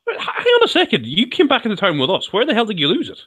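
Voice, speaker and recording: A man co-hosts a podcast, chatty and quick to react. His pitch moves around a lot as he talks. The sound is dry and close. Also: huge pitch swings, a bit bright, breathy edge, no echo. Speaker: podcast co-host. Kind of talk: casual chat.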